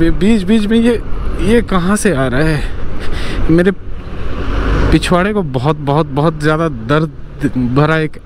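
High-voiced man vlogging over road noise. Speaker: motorcycle vlogger. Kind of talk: casual chat, narrating a ride. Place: outdoors on a motorcycle on the highway. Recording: noisy road, engine and traffic sound. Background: vehicle and traffic noise.

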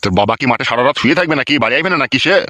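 Man playing a fast, bullying village elder. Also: mid-range voice, animated, sharp tone, no room sound. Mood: scolding. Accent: rural West Bengali. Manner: bullying, commanding, rapid. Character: stern, foul-mouthed village elder judging a dispute. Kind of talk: cartoon dialogue.

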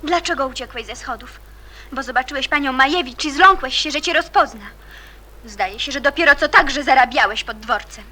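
High, bright, proud young girl. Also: moderate pace. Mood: hurt, reproachful. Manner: curt, reproachful or politely reserved. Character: hurt, proud, principled young girl, coolly stubborn. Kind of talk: Polish radio drama acting.

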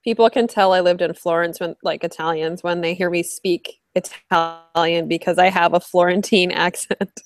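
A woman's voice: fairly low pitch, fairly even intonation, moderate pace, few pauses. A woman co-hosting an online chat, reacting with enthusiastic, gushing remarks. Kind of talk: casual chat.

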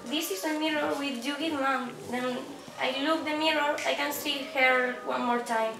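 A woman's voice: high voice, steady tone, normal speed, gravelly. A young woman acting as a motherless daughter, pleading and defiant, in an amateur puppet show. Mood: earnest. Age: young adult. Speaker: student puppeteer. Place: indoor day centre room, puppet booth. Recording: echoey room, distant camera, noisy.

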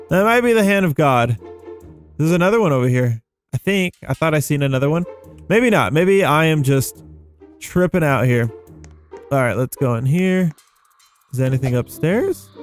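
Gaming host, young man, somewhat high-pitched, casual thinking-aloud delivery over faint music. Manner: rambling, thinking aloud, slangy. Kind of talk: casual gameplay commentary. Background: background music.

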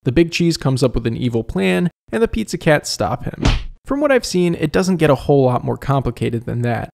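Male review host, conversational scripted narration, wry, slightly gravelly. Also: a little high, animated, a little fast, a little mellow, a little hiss or hum.

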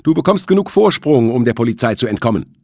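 A man's voice: mid-range voice, very animated, quick pace, darkish tone, no room sound, clean studio sound, voice pushed up. A man voicing a defiant, nervy teenage boy in an audiobook, speaking urgently and giving orders. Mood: firm bargaining. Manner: urgent, commanding.

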